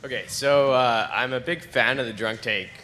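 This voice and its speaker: A man in an audience asking a rambling, halting, casual question into a live event microphone. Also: medium pitch, heavy background noise. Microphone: shared audience microphone.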